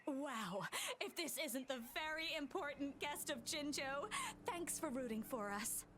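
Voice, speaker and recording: A woman's voice: high voice, animated, measured pace, background noise. A woman playing a peppy, rousing young team leader in a video game. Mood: cheerful. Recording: music playing behind the voice.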